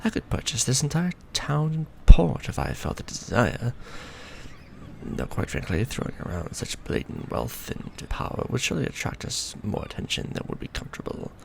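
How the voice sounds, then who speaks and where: A man playing a centuries-old vampire lord in a romantic ASMR roleplay, proud and doting, speaking formally with theatrical, measured phrasing. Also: medium pitch, huge pitch swings, vocal fry, a little mellow, slight background noise, exaggerated voice. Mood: proud, dignified.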